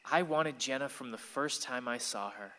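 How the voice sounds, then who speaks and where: A man's voice: medium pitch, normal speed, bright, forceful tone, natural voice. A man playing a wistful, awkward young man who confides his thoughts in a radio play. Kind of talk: radio play monologue and dialogue. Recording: reverberant hall sound.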